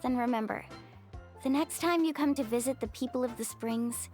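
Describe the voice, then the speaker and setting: An actress playing an upbeat, loyal young woman guide in a video game, speaking in a bright, sincere way. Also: sits high, normal speed, faint music behind, close to an everyday voice. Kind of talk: game voice acting.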